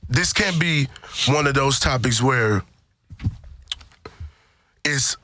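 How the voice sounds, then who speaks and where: A man's voice: medium pitch, slow, pushed and bright. A man hosting a casual panel discussion, speaking emphatically and repeating phrases for stress. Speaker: podcast host.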